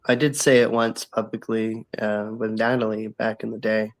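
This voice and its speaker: A man as a guest in a casual video call chat, relaxed and supportive, laughing easily and fighting a cough. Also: on the low side, normal speed.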